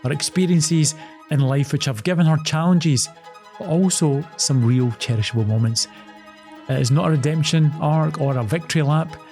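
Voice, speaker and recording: A man's voice: on the high side, moderate pace, a little mellow, faint music behind. A man, an actor and presenter, interviewing a guest on a podcast, encouraging her and often repeating himself as he goes. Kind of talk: podcast interview, scripted intro then casual chat.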